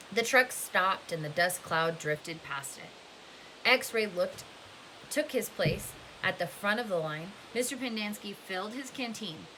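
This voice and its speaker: A woman narrating a novel aloud in an expressive storybook style, with a faint hiss in the recording. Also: mid-range voice.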